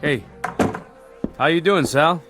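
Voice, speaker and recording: An actor playing a skeptical, wry bartender with dry, matter-of-fact wisecracks in a screen drama. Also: male voice, fairly high pitch, takes it slowly, music in the background. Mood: dry, friendly. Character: skeptical, wry male bartender who won't give credit.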